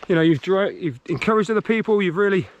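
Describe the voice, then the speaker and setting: Man, East Midlands accent, high voice, encouraging host, chatting mid-run outdoors. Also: lively intonation, fast, dull audio. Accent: English, East Midlands. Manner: chatty, encouraging, talks while running. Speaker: host interviewing a club runner. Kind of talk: casual chat while running. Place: outdoors on a park trail.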